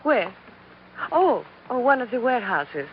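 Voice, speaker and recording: An actress playing a genteel, confiding young romantic heroine in an old radio drama, with a soft manner. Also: female voice, on the high side, big pitch swings, a little slow. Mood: casual. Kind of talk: 1940s radio drama acting. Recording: muffled old radio recording, faint hiss.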